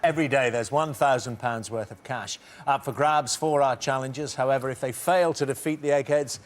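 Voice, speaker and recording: A middle-aged man hosting a TV quiz in a studio, reading questions briskly and teasing the contestants. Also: medium pitch, a little hiss or hum. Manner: brisk, teasing, reads multiple-choice questions. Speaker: quiz show host.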